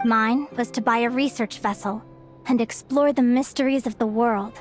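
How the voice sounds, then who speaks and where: A woman playing a wistful, soft-hearted young woman explorer in an anime-style game, halting and emotive. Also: fairly high pitch, fairly even intonation, soft background music, close to an everyday voice. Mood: wistful, reflective. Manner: halting, self-questioning, emotive.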